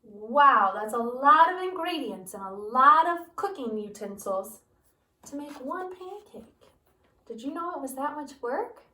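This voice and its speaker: A woman's voice: sits high, a little slow, slight background noise. A woman teacher reading a picture book aloud to her students, asking them questions as she goes. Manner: animated, asks listeners questions. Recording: roomy, from a distance.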